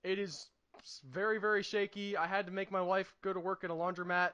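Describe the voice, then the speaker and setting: Man in late twenties, high voice, dry close sound, casual joking podcast co-host. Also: level tone, brisk, pushed and bright, clean tone, dry recording, highs cut off. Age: late 20s. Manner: joking, self-deprecating.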